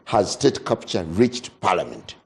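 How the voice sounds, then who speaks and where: A man moderating a TV panel debate, asking probing questions with slow, drawn-out emphasis. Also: sits low, very animated, gravelly, a little hiss or hum.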